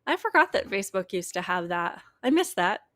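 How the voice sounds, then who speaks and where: A woman's voice: medium pitch, big pitch swings, fairly quick, a bit bright, dry recording, studio quality. A woman co-hosting a talk show, chatting casually with frequent laughs and a slightly whiny voice. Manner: laughs often, talks in repeated starts. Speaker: podcast co-host.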